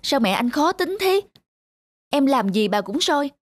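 A woman's voice: high-pitched, sing-song, measured pace. An actress playing a young, strong-willed married woman in a cartoon, whiny and emphatic, with a northern Vietnamese accent. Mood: complaining. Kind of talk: animated moral-tale dialogue.

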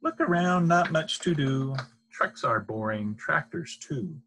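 A middle-aged man with a husky voice reads a children's picture book aloud in a deliberate, sing-song way. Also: a little high, lively intonation, fairly bright tone, slight background noise. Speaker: storybook reader. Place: small indoor room.

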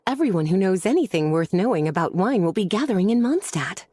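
Mellow, teasing witch. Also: mid-range voice, very animated, fast, husky, no room sound. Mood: encouraging. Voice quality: velvety, soft. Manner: nurturing, coquettish, leisurely explanatory. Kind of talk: video game character acting.

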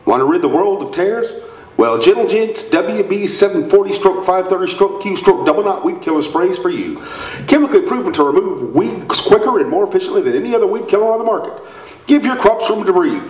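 Male actor doing radio commercial pitchman, emphatic patter, high animated pitch, distant stage sound. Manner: emphatic, repetitive sales patter. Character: mock radio pitchman selling weed killer. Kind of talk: parody old-time radio commercial. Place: theatre stage, indoors. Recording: roomy stage, distant microphone.

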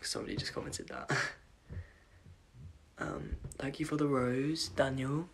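Young man, croaky gravelly voice, casual livestream chatter, phone at arm's length, faint hiss behind. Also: mid-range voice, fairly even intonation, a little slow, fairly bright tone. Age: young adult. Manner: chatty, apologetic, scattered, reading comments aloud. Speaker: livestream host. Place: dim bedroom at night, indoors. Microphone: phone camera at arm's length.

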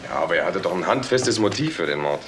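Low voiced cop, dry and doubting, crime audio drama, noisy sound. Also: male voice, animated, fast talker, fairly bright tone. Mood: matter-of-fact. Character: sceptical, matter-of-fact male police investigator. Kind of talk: German crime radio drama acting.

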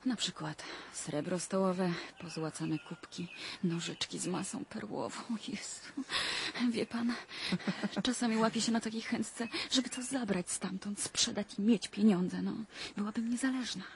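A woman's voice: mid-range voice, unhurried, vocal fry, a little mellow, a little hiss or hum. An actress playing a capricious, provocative young well-born woman in a radio drama, restless, teasing and impulsive. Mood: confiding.

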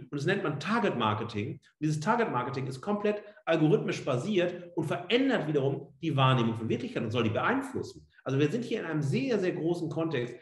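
A middle-aged man giving an academic lecture online as a professor, emphatic, with rhetorical questions and frequent tag words. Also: a little high. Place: indoor room, on a webcam video feed. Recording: roomy, echoing indoor sound.

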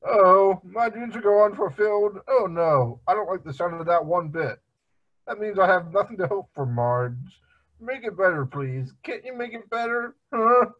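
A man plays a dim, needy cartoon dad with a high, lively voice. He talks in a halting, plaintive way over muffled video-call audio. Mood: worried, pleading. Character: dim, needy middle-aged family man. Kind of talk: amateur cartoon table read.

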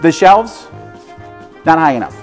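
A man's voice: a little high, big pitch swings, measured pace, faint music behind. A man in his forties hosting a prank, posing as a safety inspector and lecturing warehouse workers in a rambling, mock-serious way inside a large warehouse. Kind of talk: improvised deadpan prank bluster.

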